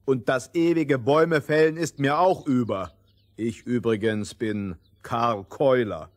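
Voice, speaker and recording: An actor playing a gruff, slow-witted burly lumberjack who talks bluntly and muddles words in a fairy-tale audio play. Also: male voice, mid-range voice, fairly even intonation, natural voice. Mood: blunt, curious.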